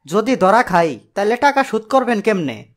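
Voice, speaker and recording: A cheeky dim cartoon getaway driver, super high voice, bangladeshi accent, music under it. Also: male voice, big pitch swings, normal speed. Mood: worried, whiny. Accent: Bangladeshi colloquial Bengali. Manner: literal-minded, back-talking.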